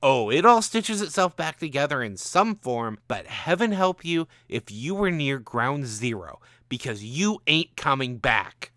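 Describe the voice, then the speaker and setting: A man's voice: mid-range voice, very animated, unhurried, few pauses, a bit bright, no echo, studio quality, pitched above the performer's own voice. A man voicing a bitter, angry gunman from the future with a mocking, ranting manner in a story. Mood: furious.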